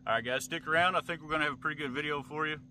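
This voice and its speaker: American man, thirties, casual fishing vlogger, folksy clipped phrasing, loud outdoor background noise, distant mic. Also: on the high side, racing. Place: open boat on a wide river, outdoors. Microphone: camera at arm's length or across the boat. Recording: outdoor wind and water noise, often distant.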